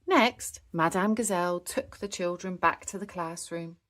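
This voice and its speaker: A woman narrating a children's storybook in an animated, scripted style with expressive emphasis. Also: on the low side, a little slow.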